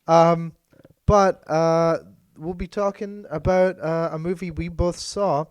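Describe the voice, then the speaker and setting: A man co-hosting a casual chat podcast, hoarse and losing his voice from being sick, talking in a meandering, hesitant way that often corrects itself. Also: on the high side, steady tone, slow pace, dry recording. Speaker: podcast co-host.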